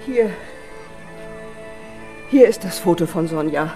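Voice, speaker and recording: A woman's voice: lowish voice, expressive, slow. Polite, obliging woman. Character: polite, obliging woman visited at home by investigators. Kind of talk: radio drama acting. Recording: music under the voice.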